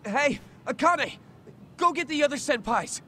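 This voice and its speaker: A man's voice: very high voice, lively intonation, a little slow, sharp tone, a little hiss or hum, exaggerated voice. An actor playing a brash young male delinquent henchman in an English game dub, slangy and taunting. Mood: baffled, uneasy. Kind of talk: English video game dub cutscene acting.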